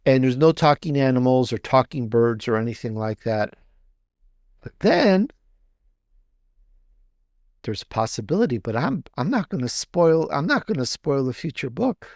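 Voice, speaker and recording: A man's voice: mid-range voice, lively intonation, a little mellow. A man with a mid-range voice speaks as a guest author in a casual interview. He feels his way through sentences, repeating phrases to underline them. The sound is dry and close, free of room echo. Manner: halting and repetitive, emphasizes by restating phrases. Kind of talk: casual promotional interview talk.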